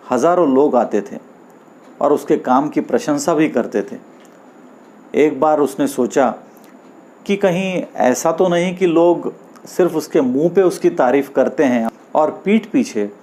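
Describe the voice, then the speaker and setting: A man narrating a scripted moral story as host, in deliberate phrases with pauses between them. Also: fairly high pitch, darkish tone.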